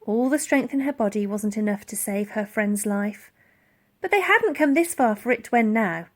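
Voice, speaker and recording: A woman reading a children's story aloud in an expressive, dramatic storytelling style. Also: medium pitch, moderate pace. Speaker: audiobook reader.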